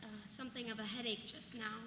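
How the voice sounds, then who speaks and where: A woman's voice: on the high side, steady tone, fast talker, natural voice. A woman acting a weary, exasperated young colonial mother in a staged play reading, frank and modern in her speech. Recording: echoey hall, noisy background.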